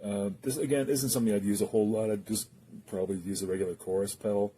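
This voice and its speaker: Male gear reviewer, very mellow dark tone, unscripted and halting, faint background noise. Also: lowish voice, steady tone. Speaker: guitar pedal reviewer. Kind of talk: casual unscripted product review and demonstration.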